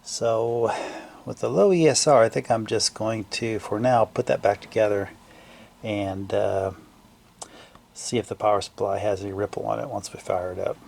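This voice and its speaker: Low, gravelly man thinking aloud. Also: fairly even intonation, a little mellow. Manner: halting, thinking aloud in trailing fragments. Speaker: hobbyist restorer narrating a repair video. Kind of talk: casual unscripted repair commentary.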